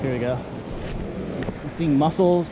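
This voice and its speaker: A man's voice: a little high, takes it slowly. A man giving casual, slangy fishing commentary as an enthusiastic vlog host, often repeating short phrases. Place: outdoors on a rocky ocean beach, wading. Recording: noisy outdoor action-camera audio with surf. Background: waves and surf.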